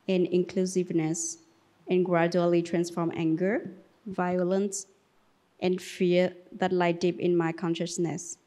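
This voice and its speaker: A woman's voice: lowish voice, mellow. A woman, speaking to a Buddhist community gathering. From Vietnam, later France. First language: Vietnamese. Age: 30s. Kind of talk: reading aloud and personal sharing.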